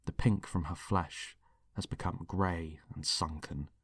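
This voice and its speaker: Low, slow male dramatic narrator. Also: fairly even intonation, husky. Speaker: writer and narrator. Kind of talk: scripted dramatic narration in present tense.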